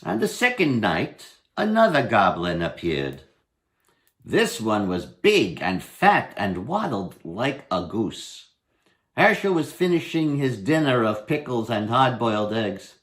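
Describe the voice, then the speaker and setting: An older man in his sixties reads a storybook aloud in a dramatic style. His pace is slow and unhurried, with very varied intonation. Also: mid-range voice, sing-song, fairly bright tone. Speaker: storybook reader. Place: indoors, home dining room.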